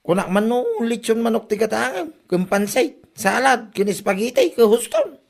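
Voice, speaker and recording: A man's voice: very high voice, sing-song, normal speed, gravelly, heavily acted. A man with an Ilocano accent playing a grumbling, thrifty husband and father in a comedy drama, exasperated about money.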